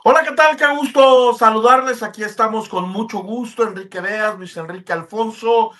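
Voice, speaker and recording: A man hosts a sports radio show. He presents in a high voice, greeting listeners and handing over to colleagues. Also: moderate pace, a bit bright.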